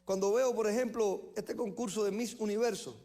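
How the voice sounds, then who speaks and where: A man from Cuba with a Cuban accent, preaching a sermon as pastor, emphatic, slowing down to stress phrases and correcting himself as he goes. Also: high voice, steady tone, dark tone.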